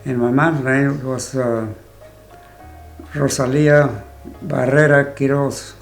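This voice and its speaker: Grandfather from Mexico, 80s, unhurried and gravelly, with faint music behind. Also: medium pitch, level tone. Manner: short plain answers with pauses. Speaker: interviewee, grandfather. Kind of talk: casual family interview.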